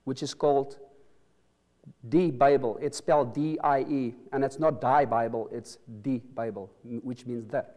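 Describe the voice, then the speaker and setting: A man's voice: mid-range voice, fairly even intonation, dark tone. Earnest man with Afrikaans accent preaching. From South Africa. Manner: earnest, halting with restarts and stresses. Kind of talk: sermon with opening prayer.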